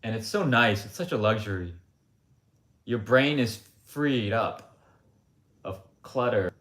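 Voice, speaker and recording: Low-voiced blunt man rambling. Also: slow pace, fairly bright tone. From United States. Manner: rambling, blunt, frequent asides with "like" and "um". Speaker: livestream host. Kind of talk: casual opinionated monologue. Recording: roomy echo.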